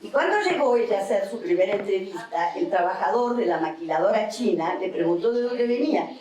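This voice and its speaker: A woman's voice: mid-range voice, animated, far from the mic, crowd noise. Mexican woman speaking in an echoey hall. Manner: measured, explanatory, with tag questions. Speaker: academic panelist. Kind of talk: seminar talk.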